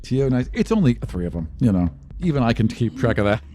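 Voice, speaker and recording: A male game master narrates a tabletop adventure in a casual, improvised way. His voice sits at an ordinary pitch, with music playing underneath. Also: very animated, a little fast, warm tone. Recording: music behind the voice. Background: background music.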